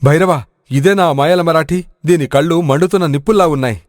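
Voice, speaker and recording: A man's voice: on the high side, fairly quick, dry recording, studio quality, close to an everyday voice. A man playing a hearty, food-loving village strongman in an audio storybook, with emphatic delivery. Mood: trusting, wary. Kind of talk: dramatic audio storybook acting.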